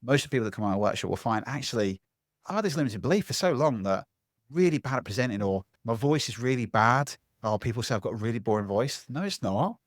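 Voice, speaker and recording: A man, a public speaking coach giving a lively interview answer from home, acting out voices as he talks. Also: medium pitch, fast talker, no room sound, clean studio sound. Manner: animated, acts out other people's voices.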